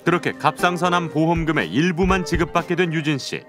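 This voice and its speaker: A man's voice: fairly high pitch, a little fast, over music. A man reading scripted narration for an investigative programme as its narrator.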